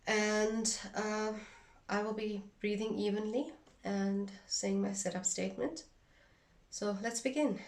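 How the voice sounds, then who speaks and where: A woman giving an instructional demonstration in a measured, deliberate way, phrase by phrase. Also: mid-range voice, fairly even intonation, a little mellow, distant mic. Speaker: instructor demonstrating a tapping technique.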